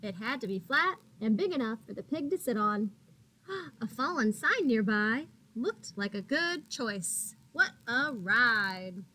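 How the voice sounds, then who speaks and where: A woman teacher reading a picture book aloud to young children, expressively, pausing to put questions to them and gasping for suspense. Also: a little high, low-quality recording. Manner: expressive, addresses children as friends, gasps for suspense.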